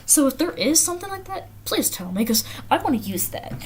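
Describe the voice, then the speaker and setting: A woman's voice: sits high, very animated, fairly quick, a little mellow. Chatty American woman, noisy livestream audio. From the Carolinas, United States. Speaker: livestream host. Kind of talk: casual livestream chat, reading viewer comments aloud.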